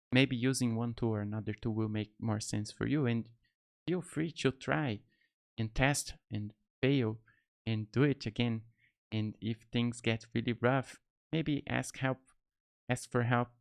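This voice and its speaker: A man's voice: lowish voice, gravelly, clear, resonant tone. A man in his thirties from Brazil with a Brazilian Portuguese accent, talking casually to camera as a tutorial host, friendly and rambling with lots of fillers, recorded in a small home studio on a close mic. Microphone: close studio mic on boom arm.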